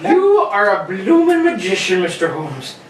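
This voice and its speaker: A man's voice: high voice, wildly swooping pitch, a little slow, full character voice. A man playing an eager, deferential working-class police sergeant in an old-time radio drama, folksy and exclamatory. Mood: impressed. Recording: echoey room, distant mic, faint noise.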